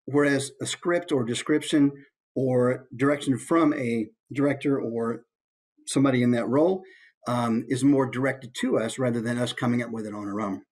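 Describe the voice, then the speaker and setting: A man's voice: mid-range voice, steady tone, normal speed, darkish tone. An American man, a voice actor, giving an informal instructional talk and musing aloud through examples.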